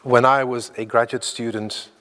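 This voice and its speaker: A man's voice: mid-range voice, little pitch movement, normal speed, fairly bright tone. A Belgian man in his fifties giving a tentative, self-deprecating conference talk with many fillers and restarts. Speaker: academic speaker. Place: lecture hall. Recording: reverberant hall.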